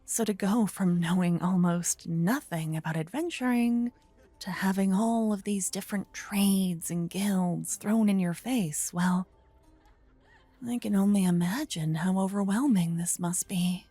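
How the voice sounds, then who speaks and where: A woman acting as a sweet young paladin adventurer in an ASMR fantasy roleplay. She speaks slowly in a soft, breathy voice, caring and playfully teasing, and gets flustered easily. The sound is close and dry, with music underneath. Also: medium pitch, no echo. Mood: gentle, concerned.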